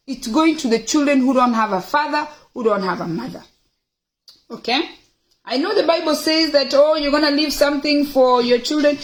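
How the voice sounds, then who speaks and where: Middle-aged female livestream host, Kenyan accent, high voice, opinionated and emphatic, informal address to viewers. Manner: emphatic, repeats phrases for stress. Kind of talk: casual, opinionated talk to viewers, reading out questions.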